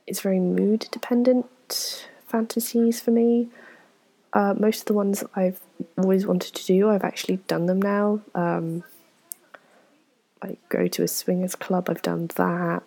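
A woman, answering viewer questions in a frank, self-deprecating, rambling way, full of ums and soft whispered asides. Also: mid-range voice, fairly even intonation, measured pace, rough recording. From England. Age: 20s. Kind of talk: casual Q&A chat to camera.

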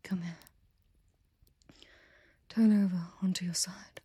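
Woman, young adult, close-mic audio roleplay: slow, dark-toned, commanding whisper. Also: fairly low pitch, steady tone, husky, no room sound. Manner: commanding and teasing, often whispering; soft and gentle near the end. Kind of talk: intimate scripted audio roleplay.

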